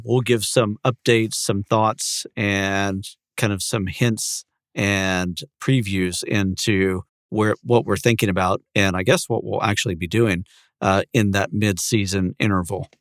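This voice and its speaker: A man's voice: lowish voice, steady tone, unhurried, no room sound, studio quality. A man co-hosting a podcast in a casual, conversational style. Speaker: podcast co-host.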